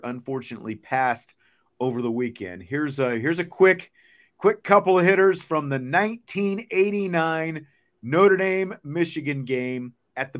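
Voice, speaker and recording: A middle-aged man hosting a sports show in a casual, conversational style. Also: mid-range voice. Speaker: sports talk show host.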